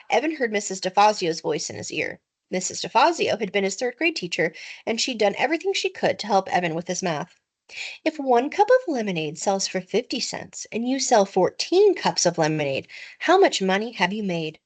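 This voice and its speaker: Female narrator, teacher-style children's book reading, expressive, very varied intonation. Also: mid-range voice, husky.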